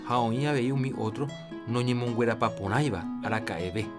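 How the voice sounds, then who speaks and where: A Paraguayan man presents scripted health information on the radio. His voice is mid-pitched and didactic, with prominent guitar music underneath. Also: moderate pace. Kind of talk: scripted educational narration in Jopara.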